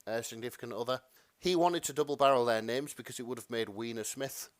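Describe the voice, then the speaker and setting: A man's voice: medium pitch, fast talker, dry recording. A man co-hosting a podcast in casual chat, agreeable and given to conversational asides. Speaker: podcast co-host.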